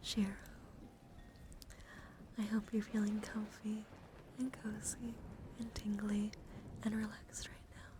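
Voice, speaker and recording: A woman chatting softly to livestream viewers in ASMR style, breathy and often whispering, with a gentle, soothing, intimate manner close to the mic. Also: medium pitch, completely flat delivery, slow pace, pauses often, noisy background. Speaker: live streamer hosting ASMR. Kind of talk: ASMR, casual chat with viewers.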